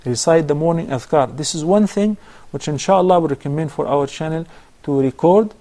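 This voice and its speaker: Soft-toned sheikh giving calm advice. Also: male voice, a little high. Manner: measured, instructive, lists steps. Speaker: sheikh answering viewer questions. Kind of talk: religious advice on a call-in show.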